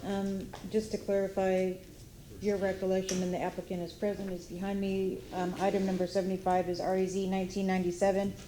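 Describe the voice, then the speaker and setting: A woman's voice: medium pitch, flat delivery, fairly quick, noisy background. Woman in an echoey chamber. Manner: businesslike, with fillers. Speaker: city planning staff. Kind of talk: staff report.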